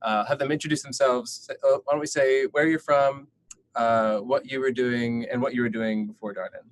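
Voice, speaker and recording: A man hosting an admissions webinar, asking short questions in a relaxed way with a few fillers. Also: mid-range voice, normal speed. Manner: relaxed, brief prompts, some fillers.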